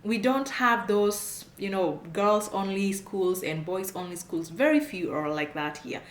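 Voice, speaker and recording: A woman's voice: medium pitch, a little slow. A middle-aged woman with a Kenyan accent, giving frank advice straight to camera, repeating her points and laughing often. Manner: frank, repetitive, emphatic, laughs often, gestures while talking. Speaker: immigration consultant. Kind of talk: direct-to-camera advice talk. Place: indoor room at home, plain wall. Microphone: camera on a desk, seated facing it. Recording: echoey room.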